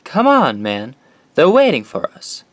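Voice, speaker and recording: A man's voice: fairly high pitch, wildly swooping pitch, measured pace, fairly bright tone, no echo, full character voice. A man playing an anxious, slangy young male patient in an audio drama, with music underneath. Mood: urgent.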